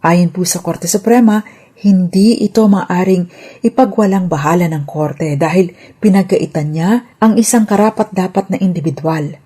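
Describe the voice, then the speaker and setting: A Filipino woman hosts a scripted legal explainer. Her voice is lowish and husky, with a mellow tone. She is formal and orderly, putting emphasis on each key point. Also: normal speed.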